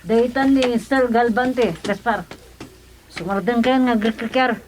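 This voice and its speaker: Actress as high-voiced indignant household helper. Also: female voice, fairly even intonation, unhurried, darkish tone, slight background noise, lightly acted, rough recording. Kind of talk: Ilocano radio drama acting.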